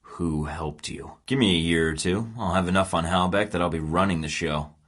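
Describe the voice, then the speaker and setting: Game spy, very deep voice, terse, sardonic, threatening. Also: male voice, normal speed, a bit bright. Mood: cold, defiant. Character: hardened male spy in his thirties, defiant. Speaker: game protagonist, secret agent. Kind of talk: video game voice acting.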